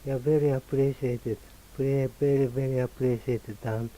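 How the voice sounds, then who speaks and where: A very dark, muffled male voice with a Japanese accent comes through over faint hiss. He speaks haltingly as a ham radio operator, repeating words in a casual exchange. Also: mid-range voice, steady tone. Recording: very muffled, hissy radio link.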